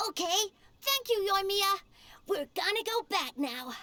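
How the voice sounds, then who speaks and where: A woman's voice: extremely high pitch, lively intonation, measured pace, sharp tone, exaggerated voice. A woman voicing a small, earnest village child in an anime video game, trusting and insistent in a childlike way. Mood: obedient.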